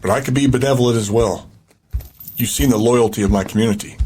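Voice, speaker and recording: A man playing a coldly controlling community leader in an audio drama, calm and deliberate, posing as benevolent. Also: mid-range voice, slight background noise, close to an everyday voice. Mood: controlled, persuasive. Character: menacing captor and cult-like community leader, falsely benevolent.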